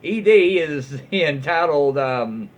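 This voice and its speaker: A man hosting and reading internet stories aloud in a folksy way, with dramatic pauses, emphasis and his own asides. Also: on the high side, animated, dull audio. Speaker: online story reader and host.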